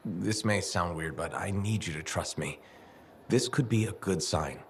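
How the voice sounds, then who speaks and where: An actor playing a friendly, helpful adventurer in a video game, talking in a casual, reassuring way. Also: male voice, low voice, a little slow, a little hiss or hum. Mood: gentle, probing. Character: steady, friendly male freelancer adventurer, helpful and gently probing. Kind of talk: video game dialogue acting.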